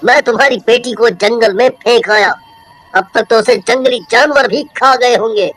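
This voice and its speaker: A woman's voice: on the high side, normal speed, a bit bright. A woman playing a callous young husband, demanding and cowardly, with a dramatic cartoon delivery. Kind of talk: Hindi horror cartoon voice acting.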